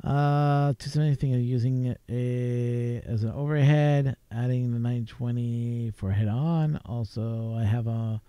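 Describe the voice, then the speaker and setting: A man's voice: medium pitch, steady tone, unhurried, few pauses, smooth, no echo, dull audio. A man from Canada, hosting a late-night live stream from his home desk, chatting casually and rambling with chuckles. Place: home desk crowded with gear. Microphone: desk mic with a mixer.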